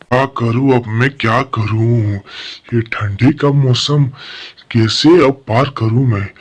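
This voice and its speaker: Man as a husky, whining cartoon crow. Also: medium pitch. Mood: despairing. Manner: plaintive, lamenting. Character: lazy, hungry, whining male crow. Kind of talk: Hindi children's animated fable dialogue.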